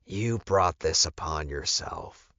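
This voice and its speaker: A man voicing a stern, disappointed inner wolf spirit in an audiobook, scolding slowly and deliberately. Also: low voice, expressive, bright, forceful tone, exaggerated voice. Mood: reproachful. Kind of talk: audiobook character voice. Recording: muffled, boxy, echoey room recording.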